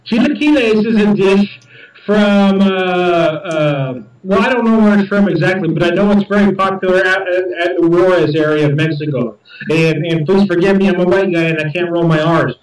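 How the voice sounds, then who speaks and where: A high-voiced man talks in a loose, rambling way, stumbling over repeated words as he goes. He sits well back from the mic, and the room gives his voice a clear echo. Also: normal speed, recorded from a distance. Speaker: podcast host reviewing beer. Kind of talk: casual chat.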